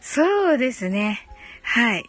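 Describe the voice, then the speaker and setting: A woman's voice: fairly high pitch, big pitch swings, takes it slowly, fairly bright tone, no echo. A woman hosting a casual live audio chat, answering listener comments in a giggly way, often repeating short phrases of agreement.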